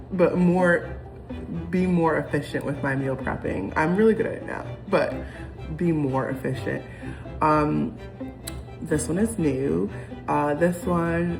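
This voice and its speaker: Low-voiced woman rambling over music. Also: creaky, rough recording. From United States. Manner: rambling and reflective, many ums and restarts. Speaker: vlogger. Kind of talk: casual chat to camera. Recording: music playing behind.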